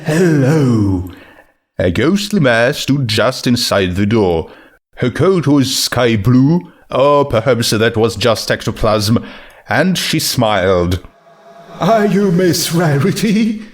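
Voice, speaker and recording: A man's voice: mid-range voice, lively intonation, slow pace. A man narrating a scripted story as a fan fiction reader.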